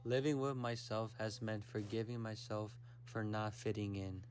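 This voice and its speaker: Reflective low-voiced young man narrating. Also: level tone, darkish tone, clear, resonant tone. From United States. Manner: reflective, measured reading. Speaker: documentary narrator. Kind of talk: scripted personal documentary narration.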